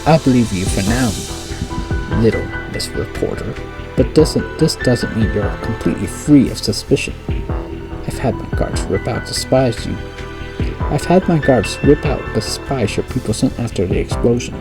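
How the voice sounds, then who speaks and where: A man's voice: mid-range voice, moderate pace, gravelly, lightly acted. A man voicing a suspicious, commanding female leader who questions and threatens, over background music. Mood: threatening.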